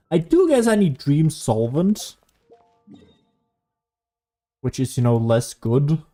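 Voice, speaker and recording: A man giving casual solo gameplay commentary as a streamer, rambling and thinking out loud as he goes. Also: fairly high pitch. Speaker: gaming streamer.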